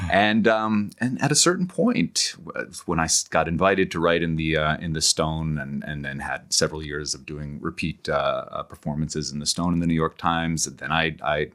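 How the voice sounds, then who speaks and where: A middle-aged man giving thoughtful, halting explanations as a professor and author being interviewed, often circling back to rephrase. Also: extremely low pitch, a bit bright. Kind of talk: thoughtful long-form podcast interview.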